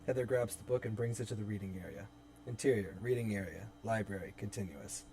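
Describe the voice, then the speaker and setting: A man's voice: on the low side, a little fast, warm tone. A man reading stage directions as the narrator of a horror script in a live table read.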